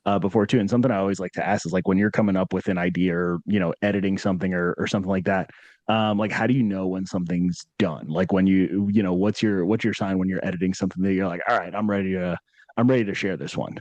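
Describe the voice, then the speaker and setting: Upbeat male podcast host, low-pitched. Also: fairly even intonation, fast, a little mellow, no room sound. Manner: upbeat, chatty, laughs easily, trails into rephrasing. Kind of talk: casual interview chat.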